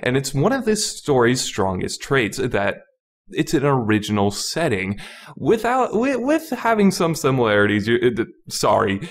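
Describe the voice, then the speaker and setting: A man giving a casual, unscripted review as a podcast host, rambling and often repeating or correcting himself. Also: on the low side. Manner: rambling, repeats phrases for emphasis, self-correcting. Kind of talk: casual unscripted video game review commentary.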